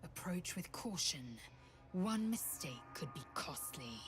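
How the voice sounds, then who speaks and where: A woman's voice: lowish voice, big pitch swings, takes it slowly, soft background music. An actress voicing a proud, stern female warrior zealot in a video game, with a clipped, disdainful delivery. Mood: warning. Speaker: game character.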